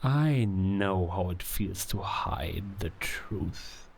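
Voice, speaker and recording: An actor playing a scheming werewolf king who coaxes and manipulates in a theatrical, insinuating way, with drawn-out words and hushed asides, in an audio drama. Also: low-pitched, lively intonation, creaky, full character voice. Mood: seductive coaxing. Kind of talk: cinematic audio drama villain acting.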